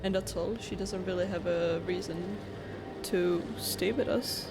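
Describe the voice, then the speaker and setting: A woman's voice: on the low side, fairly even intonation, a little slow. A woman giving casual commentary on her video game playthrough. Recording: game music behind.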